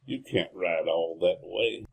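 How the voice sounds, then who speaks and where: An actor playing a gruff, worried cowboy sidekick in a radio western, with a husky voice and an American Western accent. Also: male voice, deep voice, animated, slow pace, heavily acted. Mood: urgent, guarded. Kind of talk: old-time radio western acting.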